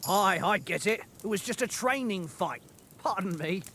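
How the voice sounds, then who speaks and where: A carefree young soldier in a medieval game, cocky and jocular. The voice sits high and swings freely, with a faint rumble behind it. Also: male voice, animated, normal speed, a bit bright. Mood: casual. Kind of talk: video game cutscene acting.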